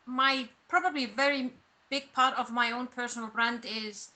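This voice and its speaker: A woman from Iceland, whose first language is Icelandic, answering interview questions as a personal branding coach, pausing and restarting as she thinks. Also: on the high side, steady tone, pushed and bright. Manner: reflective, pauses and restarts mid-thought.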